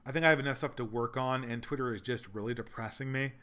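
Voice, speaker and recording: A man whose voice is going hoarse talks through a livestream in an ordinary middle register. He is earnest and meandering, and he works his thoughts out aloud as he answers viewers. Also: mid-range voice, fairly even intonation, fast talker, pushed and bright. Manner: rambling, earnest, thinks aloud. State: losing his voice. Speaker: livestream host reading donations. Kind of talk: casual livestream Q&A.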